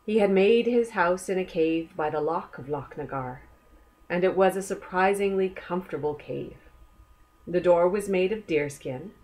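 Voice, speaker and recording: A woman reading a children's book aloud as a storyteller, gently inviting young listeners along with questions. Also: fairly low pitch, moderate pace.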